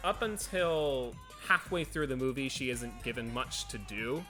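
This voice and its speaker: A man's voice: medium pitch, lively intonation, unhurried, pushed and bright. A man hosting a film review, talking through his opinions as commentary. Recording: music bed under voice.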